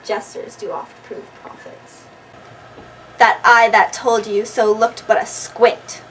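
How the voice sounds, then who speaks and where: Slow, haughty royal noblewoman acted by a woman. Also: fairly high pitch, little pitch movement, fairly bright tone. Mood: scornful. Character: haughty, scornful royal noblewoman, jealous and bitter. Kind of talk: amateur Shakespearean stage acting. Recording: distant mic, noisy amateur recording.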